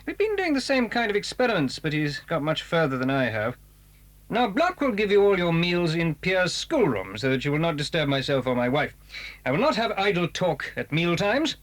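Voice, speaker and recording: A stern English gentleman scientist in a children's audio drama. His delivery is formal and easily irritated, rather high for a man, with big swoops in pitch. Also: big pitch swings, normal speed. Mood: formal, warning. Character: stern, eccentric upper-class stepfather, a scientist.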